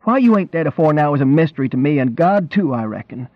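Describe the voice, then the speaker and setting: A man's voice: on the high side, expressive, fast talker, pitched above the performer's own voice. A man voicing a small, angry, worried country wife who scolds in an exasperated rustic dialect. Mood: angry scolding. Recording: roomy, dull lo-fi reading.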